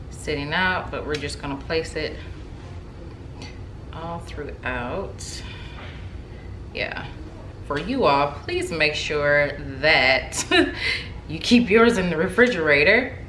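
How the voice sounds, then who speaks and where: A woman in her thirties casually talking through a recipe in her home kitchen, chatty and relaxed, with lots of ums and likes. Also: sits low, slow pace, pauses often. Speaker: home cook vlogger. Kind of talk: casual cooking demonstration. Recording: echoey kitchen with background noise. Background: TV playing in the background.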